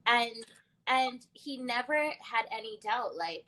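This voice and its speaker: A young adult woman hosting a conversational interview podcast, animated and reflective, laughing often. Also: a little high, takes it slowly, rough recording. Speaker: podcast host.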